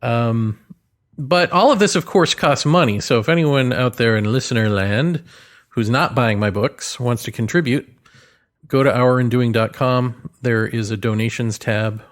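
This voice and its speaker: A man's voice: medium pitch, unhurried. A man hosting a solo podcast in a casual, rambling way, hesitant as he thinks aloud, with a wry, self-deprecating manner. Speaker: podcast host and author.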